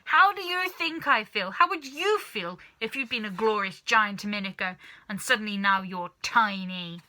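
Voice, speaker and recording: A horrid old grandmother from a children's storybook, played by a woman reader, bossy and furious. Her voice is forceful and very sharp, pitched up into angry yelling. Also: lively intonation, moderate pace. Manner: yelling, demanding, bad-tempered.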